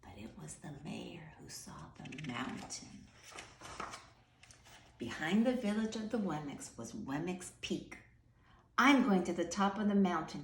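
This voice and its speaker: A woman's voice: medium pitch, a little hiss or hum. Woman reading a bedtime story, echoey room. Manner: animated, dramatic, dropping into hushed breathy phrases. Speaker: storyteller reading a children's book.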